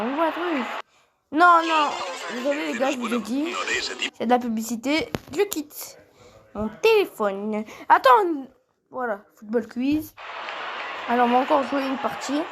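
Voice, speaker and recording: A young man from Portugal commentating his own football quiz game, excitable and prone to shouting and swearing at opponents. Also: extremely high pitch, unhurried, crowd noise. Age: teens. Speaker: gaming youtuber.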